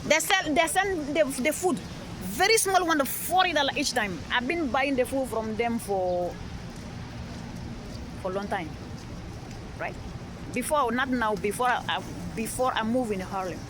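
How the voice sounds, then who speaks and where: High-voiced Malian woman on a noisy street. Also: measured pace, long pauses. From Mali. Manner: repetitive, insistent, frequent "all right?" checks. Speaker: street interviewee. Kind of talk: rambling personal story. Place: busy city street outdoors. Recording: noisy outdoor street recording. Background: traffic and street noise.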